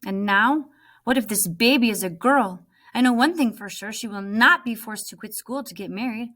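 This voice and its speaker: A woman's voice: on the high side, moderate pace, fairly bright tone. A woman voicing a defiant, stern young refugee woman from a storybook, firm and scolding. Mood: bitter, resolute.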